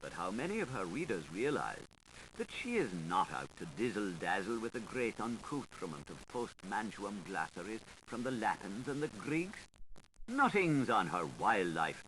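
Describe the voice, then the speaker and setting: Expressive male literary narrator, lively swooping delivery. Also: on the low side. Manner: expressive, deliberate, playful recitation with dramatic pauses. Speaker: audiobook narrator. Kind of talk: theatrical literary audiobook narration. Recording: 1985 audiobook recording with faint hiss.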